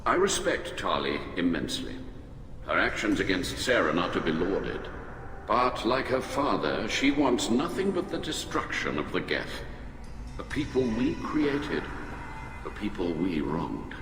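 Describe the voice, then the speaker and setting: A dignified alien admiral from a video game, grave and principled. His lowish voice moves widely in pitch as he delivers formal, measured oratory. It rings in a long reverberant space over loud music. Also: very animated, exaggerated voice. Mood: grave, earnest.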